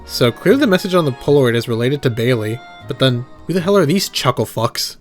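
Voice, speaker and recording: A man's voice: medium pitch, animated, brisk. A man giving casual, wry, sweary commentary and reactions on a horror series. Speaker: video essayist and reactor. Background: background music.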